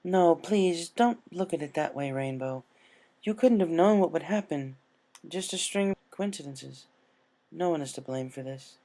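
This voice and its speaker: A narrator voicing a tender, regal young female alicorn princess in a gentle, formal, measured way for a story reading. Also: mid-range voice, animated, pitched above the performer's own voice, close to an everyday voice. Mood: gentle, consoling.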